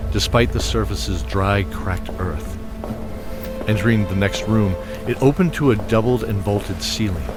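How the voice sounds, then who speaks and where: A man narrating a fantasy audio drama in a steady, descriptive manner. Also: on the low side. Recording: loud score behind.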